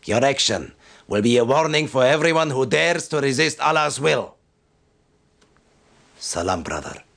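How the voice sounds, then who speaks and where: An actor playing a stern, devout military commander who gives solemn, formal and deliberate briefings in a video game. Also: male voice, medium pitch, expressive, gravelly, a bit bright. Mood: solemn, vengeful.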